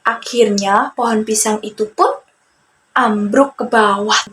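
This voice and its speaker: A young Indonesian woman telling a story to children, speaking deliberately and addressing young listeners directly. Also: medium pitch, a bit bright. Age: young adult. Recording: faint background ambient noise.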